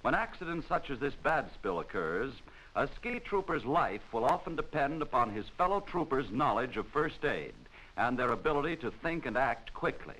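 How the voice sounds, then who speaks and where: An American man giving formal, measured, scripted narration for a 1940s instructional training film, on a vintage film soundtrack. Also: mid-range voice, big pitch swings, sharp tone, slight background noise, low-quality recording.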